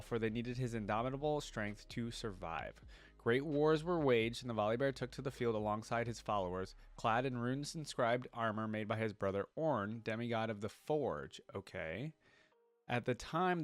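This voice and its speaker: A man with a medium-pitched voice hosts a casual reaction video. He moves between reading text aloud and informal commentary, often thinking out loud and putting questions to himself. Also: no echo.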